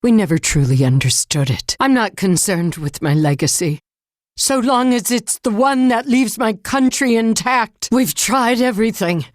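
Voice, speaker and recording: A woman's voice: a little high, expressive, moderate pace, no echo, close to an everyday voice. Actress as hard-nosed female government leader, blunt, commanding, resolute, dry close sound, dramatic game acting. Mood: grim, resolute. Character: tough, resolute female government leader fighting a giant monster.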